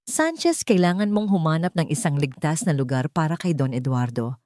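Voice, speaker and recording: Filipino grandmother, read by a female narrator, steady and urgent, storybook drama, dry recording. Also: low-pitched, moderate pace, no echo. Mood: calm urgency. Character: calm but urgent grandmother. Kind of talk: audio story character lines.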